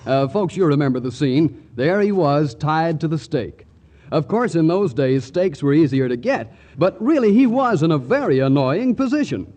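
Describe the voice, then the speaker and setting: High-pitched, animated male 1940s radio announcer. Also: normal speed, lo-fi sound. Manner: folksy, jokey, salesmanlike. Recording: vintage 1940s radio broadcast.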